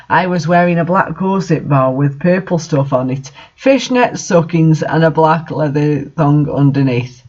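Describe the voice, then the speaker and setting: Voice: mid-range voice, a little mellow. A reader narrating fan fiction and commenting on it casually, with an incredulous, sarcastic, sweary manner. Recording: dull lo-fi home recording.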